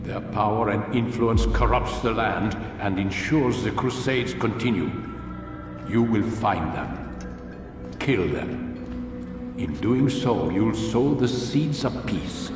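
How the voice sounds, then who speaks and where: Stern master in an echoing hall. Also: male voice, lowish voice, recorded from a distance. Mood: solemn, commanding. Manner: measured, commanding, paternal and grandiose. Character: stern, solemn aging master of an assassin brotherhood. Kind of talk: dramatic video game cutscene acting. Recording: echoey hall, music behind.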